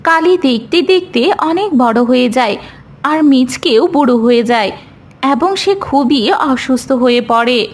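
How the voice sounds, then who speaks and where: A woman's voice: high voice, expressive, unhurried. A woman narrator reading a scripted cartoon fairy tale. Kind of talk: scripted children's story narration.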